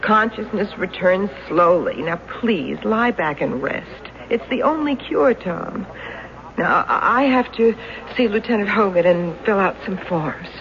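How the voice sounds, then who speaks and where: An actress playing a worried, soothing wife in a 1970s radio drama. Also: female voice, mid-range voice, expressive, a little slow. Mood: soothing, insistent. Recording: muffled, hissy 1970s radio recording.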